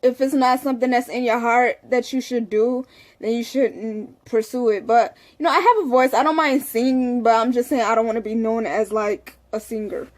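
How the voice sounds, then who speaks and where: Young woman just talking to her camera, kinda high voice, lots of "you know". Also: fairly even intonation, moderate pace. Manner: candid, rambling, fillers like "you know". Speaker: vlogger. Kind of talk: casual talk to camera.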